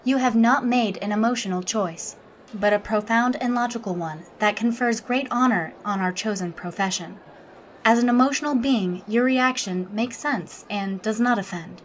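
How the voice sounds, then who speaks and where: Actress as female alien researcher officer, audio drama. Logical, measured, unemotional, raised pitch, faint recording noise. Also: lightly acted, low-quality recording. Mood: composed, courteous. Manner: measured, formal, clinical, unemotional. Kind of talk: audio drama interview and log narration.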